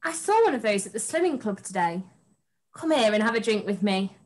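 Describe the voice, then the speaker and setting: A woman's voice: mid-range voice, very animated, fairly quick, natural voice. A young woman playing a sweet, melodramatic princess in an amateur pantomime read-through on a video call. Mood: coy. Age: young adult.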